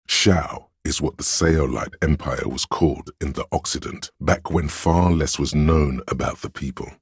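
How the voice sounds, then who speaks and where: An erudite inner voice from a video game, pitched extremely low. The sound is full of gravel and very husky rasp. He delivers facts in a deliberate, dryly pedantic way, as if addressing the player directly. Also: no echo, clean studio sound, exaggerated voice. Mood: measured, knowing. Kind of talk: measured game narration addressing the listener.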